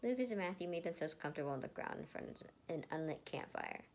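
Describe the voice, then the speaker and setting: Woman reading fanfic out loud, kinda low voice, really animated, trips on words a bit. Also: fast talker, soft background music. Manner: animated, stumbles and re-reads words. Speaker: fan fiction reader. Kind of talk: expressive amateur story reading.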